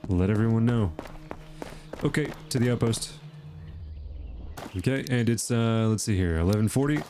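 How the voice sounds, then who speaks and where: A man's voice: fairly low pitch, normal speed, music in the background. Chatty man with a stuffy nose. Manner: chatty, thinking aloud with fillers. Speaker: gaming channel host. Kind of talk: casual gameplay commentary.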